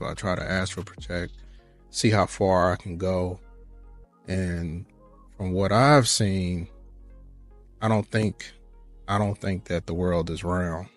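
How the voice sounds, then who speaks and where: A man in a casual chat, talking in an informal, earnest, matter-of-fact way. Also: sits low.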